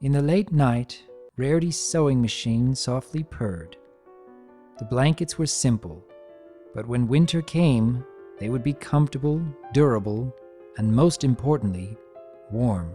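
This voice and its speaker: A narrator giving a dramatic story reading in a soft, measured, deliberate way. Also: medium pitch, faint music behind.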